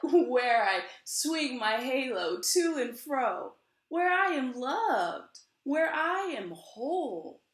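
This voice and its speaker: A middle-aged American woman reading a picture book aloud as its author, with measured, dramatic phrasing. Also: sits high, sing-song. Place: small room indoors at home. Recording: roomy indoor echo.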